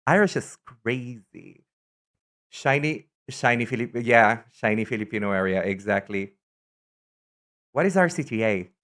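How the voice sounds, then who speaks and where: A man's voice: medium pitch, a little slow, pauses often. Campy, chatty male streamer from Brazil. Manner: chatty, campy and excitable, trails off into asides. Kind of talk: casual live-stream chat.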